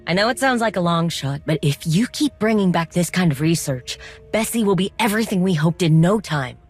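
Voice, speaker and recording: An actress playing a determined, wry droid mechanic in a video game, speaking informally with coaxing, teasing encouragement. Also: female voice, lowish voice, expressive, fairly quick, slightly rough, soft background music. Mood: patient, encouraging.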